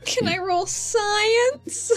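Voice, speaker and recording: A woman's voice: very high-pitched, drawn-out words, soft background music. A woman chatting casually and playfully as a player in a tabletop game.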